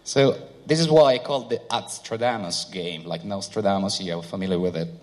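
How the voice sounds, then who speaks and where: A man whose first language is not English, giving a seminar talk through an interpreter, with many pauses and fillers. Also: sits low. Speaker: seminar speaker. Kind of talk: conference presentation with interpreter. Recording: hall reverb.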